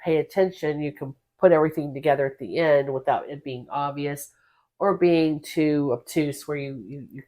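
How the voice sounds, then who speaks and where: A woman's voice: low voice, moderate pace, warm tone. A woman co-hosting a podcast, talking casually in discussion. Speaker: podcast co-host.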